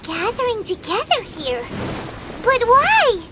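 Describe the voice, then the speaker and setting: A woman voicing a tiny, curious, childlike game companion with a squeaky, chirpy voice. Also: very animated, takes it slowly, heavy background noise, heavily acted. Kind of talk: video game character acting. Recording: game music behind the voice.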